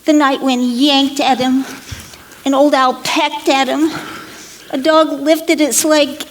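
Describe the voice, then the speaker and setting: A woman storyteller narrating a children's parable theatrically, expressive and deliberate, with playful asides. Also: sits high, slight background noise, low-quality recording.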